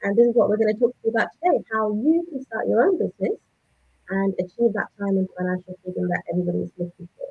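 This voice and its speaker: A woman's voice: mid-range voice, fast, vocal fry, husky, narrow, tinny audio. A woman in her thirties hosting a live online session, welcoming viewers and introducing guests.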